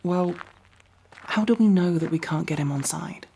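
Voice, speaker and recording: A woman playing a proud but nervous young elf rogue in an ASMR roleplay, with wry, halting delivery. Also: fairly low pitch, creaky, mellow, a little hiss or hum. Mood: wry, defensive.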